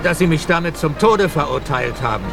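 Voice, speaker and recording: An actor playing a proud but uneasy taxi driver in a German radio drama, earnest and wary. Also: male voice, fairly high pitch, animated, brisk, gravelly, music in the background. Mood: fearful, resigned.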